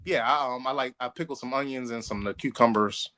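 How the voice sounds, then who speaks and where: A man co-hosting a podcast with casual, playful banter, swearing freely and quick to crack up. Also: medium pitch, pushed and bright. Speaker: podcast co-host.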